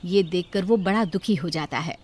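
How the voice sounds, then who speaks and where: A woman's voice: on the low side, moderate pace, a little mellow, slightly rough. A woman reading a scripted moral bedtime story as the narrator.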